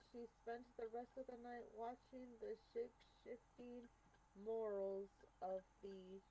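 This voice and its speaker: Streamer lady reading out a visual novel, soft mellow tone, expressive, bit of background noise. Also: on the high side, low-quality recording. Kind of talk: reading game text aloud during a playthrough.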